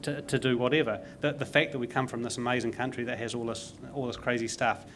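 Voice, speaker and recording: A man's voice: medium pitch, flat delivery, fast, fairly bright tone, a little hiss or hum. A man with a New Zealand accent hosting a casual panel discussion, emphatic and opinionated, often saying "you know". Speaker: panel host.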